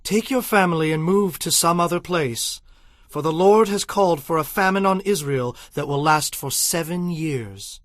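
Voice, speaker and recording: A man's voice: fairly high pitch, moderate pace, fairly bright tone, no echo, clean studio sound, lightly acted. A man playing a solemn, commanding prophet in a dramatized audio Bible, declaiming his prophecies. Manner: declamatory, foretelling.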